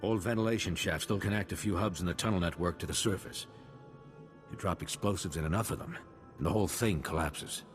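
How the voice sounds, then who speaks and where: An actor playing a gruff, pessimistic security chief in a video game, husky, grim and blunt. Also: male voice, sits low, a little fast, close to an everyday voice. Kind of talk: scripted video game acting. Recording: long reverb, music underneath.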